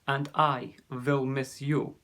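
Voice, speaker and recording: A man voicing a huge, humble creature parent who speaks gently and with dignity. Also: mid-range voice, little pitch movement, slow. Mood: gentle.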